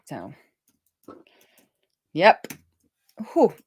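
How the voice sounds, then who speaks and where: A woman hosting a casual coding stream as a web developer, talking informally and thinking out loud as she works. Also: very slow, dry recording.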